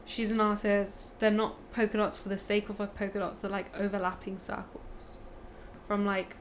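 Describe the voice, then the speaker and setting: A woman chatting as a podcast guest, with a playful, teasing manner and easy laughs. Her voice sits at an ordinary pitch. A light hum of background noise runs beneath her. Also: little pitch movement, normal speed. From Punjab, India. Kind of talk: casual chat.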